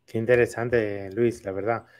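Middle-aged podcast host. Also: male voice, lowish voice, little pitch movement, moderate pace, a little mellow. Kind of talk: conversational interviewing. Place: indoors on a video call. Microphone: video call.

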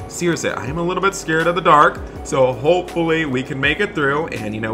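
A man hosting a roleplay gaming video, narrating excitedly and speaking straight to viewers in an animated, exclamatory way. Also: medium pitch. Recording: music behind the voice. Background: background music.

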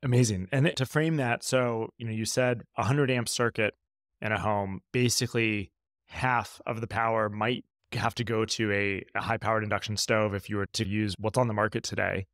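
A man's voice: on the low side, fairly even intonation, moderate pace, fairly bright tone, no room sound, studio quality. A man hosting a casual podcast interview, reflectively restating and framing points as he talks. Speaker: podcast host.

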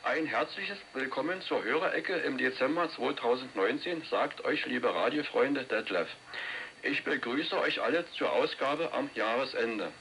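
Bright-voiced male presenter over shortwave. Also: medium pitch, lively intonation, a little slow. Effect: shortwave radio. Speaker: radio host of listeners' segment. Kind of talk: scripted radio presentation. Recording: faintly noisy shortwave radio reception.

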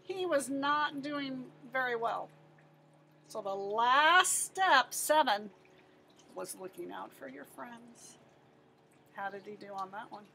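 A middle-aged American woman reads a story to young children in a high, bright voice. She takes her time, stressing words expressively and posing questions as a teacher would. Clear ambient noise sits behind her. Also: slow, low-quality recording. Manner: expressive, emphatic, asks and answers own questions. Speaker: teacher-librarian reading to children. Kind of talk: animated picture-book read-aloud with questions to young listeners.

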